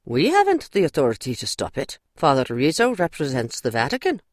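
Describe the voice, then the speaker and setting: A woman's voice: deep voice, huge pitch swings, brisk, no room sound, clean studio sound, full character voice. A woman playing a stern, formal, authoritative mother superior in an audio drama. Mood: curt, dismissive.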